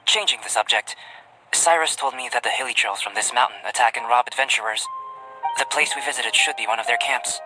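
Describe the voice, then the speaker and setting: Game role for a male actor: young male scholar, reserved and analytical, slightly higher pitched, formal measured phrasing, music underneath. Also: lightly acted, rough recording. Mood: thoughtful, serious. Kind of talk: video game cutscene voice acting.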